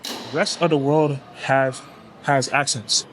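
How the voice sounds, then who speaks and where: A man's voice: mid-range voice, fairly even intonation, slow pace, a bit bright, a little hiss or hum. A young man from Toronto with a Toronto accent, chatting casually in a gym vlog and coaching between sets, recorded on a clamped camera mic. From Toronto, Canada. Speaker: fitness vlogger. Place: indoor gym. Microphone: clamped camera mic, no tripod.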